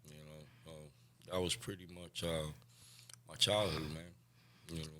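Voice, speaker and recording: A man in his forties from Cuba, a guest on a recovery podcast, telling his life story candidly and often trailing off softly. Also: a bass voice, steady tone, normal speed. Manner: candid, soft-spoken, trails off quietly.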